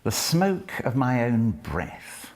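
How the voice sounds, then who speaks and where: A man who introduces a poem and then reads it aloud, in a measured, deliberate way, letting phrase ends fall and slipping into soft whispers at times. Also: medium pitch, wildly swooping pitch. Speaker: poetry reader and lecturer. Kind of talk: spoken introduction and poetry reading.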